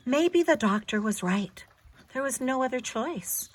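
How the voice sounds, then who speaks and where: Lady with a highish voice reading a kids picture book out loud, expressive teacher style, little asides to the listeners. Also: unhurried. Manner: simple, expressive teacherly narration, pointing out pictures. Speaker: storyteller reading aloud to children. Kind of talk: children's picture-book read-aloud with asides.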